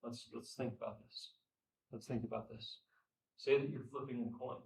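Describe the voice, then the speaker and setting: A young man teaching statistics at a classroom whiteboard, losing his voice and clearing his throat, repeating phrases and checking understanding. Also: mid-range voice, fairly even intonation, normal speed, a little mellow. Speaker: statistics instructor. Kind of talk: classroom lecture. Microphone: far camera. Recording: echoey room, from far off.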